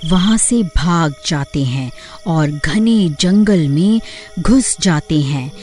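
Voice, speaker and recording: A woman reads a scripted horror tale as narrator. Her voice sits a little low and moves slowly and deliberately, with soft music underneath. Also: animated.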